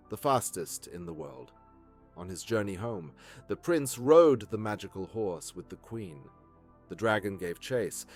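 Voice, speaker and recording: A man's voice: mid-range voice, darkish tone. A man reading a scripted fairytale for children as a storyteller. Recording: music behind the voice. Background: background music.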